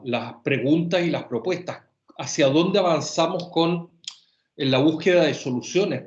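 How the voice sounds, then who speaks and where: A man moderating a seminar panel, thanking speakers in a measured, courteous way. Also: fairly high pitch. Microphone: video call. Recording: roomy lo-fi video call audio.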